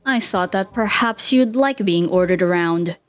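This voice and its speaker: A woman's voice: fairly low pitch, unhurried, faint music behind, lightly acted. A woman voicing a stern, curt, fed-up male skeleton with cold, clipped commands in a fan fiction reading. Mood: dry taunting.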